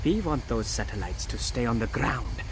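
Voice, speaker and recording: A man's voice: medium pitch, big pitch swings, moderate pace, slight background noise, natural voice, poor audio. Man acting a grandiose island rebel leader, swooping voice. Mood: grandiose, urging. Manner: florid, formal, dramatic phrasing. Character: theatrical, scheming male island rebel leader, grandiose and indignant. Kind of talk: video game cutscene acting. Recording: music behind the voice.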